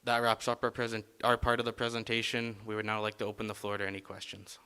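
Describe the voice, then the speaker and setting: A man's voice: lowish voice, completely flat delivery, fast talker, pushed and bright, clean tone. A young man, a college student, wrapping up a class presentation and answering audience questions, repeating each question back with a few hesitant fillers.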